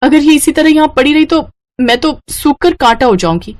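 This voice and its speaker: A woman voicing a long-suffering, overworked young daughter-in-law in an animated family story. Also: high voice, moderate pace, pitched above the performer's own voice. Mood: weary. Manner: polite aloud, grumbling to herself.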